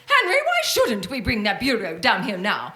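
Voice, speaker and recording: A woman's voice: medium pitch, huge pitch swings, moderate pace, pushed and bright. A woman acting in a live radio play as a bossy, grasping, self-pitying wife and mother with a nagging, theatrical delivery. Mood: scheming. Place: stage before a live audience.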